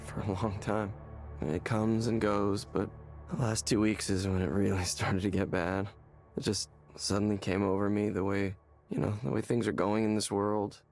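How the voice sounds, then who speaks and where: An actor playing a despairing, hollow man in a visual novel game, speaking haltingly and repeating words as he searches for them. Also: on the low side, level tone, darkish tone, faint music behind, lightly acted. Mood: hollow, dejected. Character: despairing, hollow man confiding in a counseling session.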